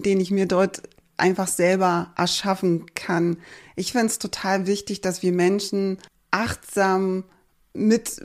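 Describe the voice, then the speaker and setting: A woman in her forties from Germany, a podcast host calmly introducing herself with fillers and repeated words. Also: mid-range voice. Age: 40s. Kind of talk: personal introduction monologue.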